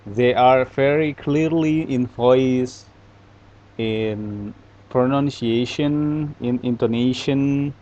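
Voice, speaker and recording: A man teaching an English class in a relaxed, casual way, answering student questions with lots of fillers. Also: mid-range voice, very slow, dull audio. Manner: relaxed, explains with many fillers.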